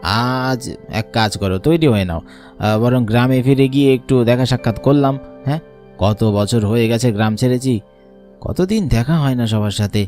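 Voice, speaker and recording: An older village schoolteacher from a cartoon, played by a man, speaks. He is concerned and stubborn, insisting while he reassures. Soft music is underneath. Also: mid-range voice, moderate pace, lightly acted. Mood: insistent. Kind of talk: cartoon voice acting.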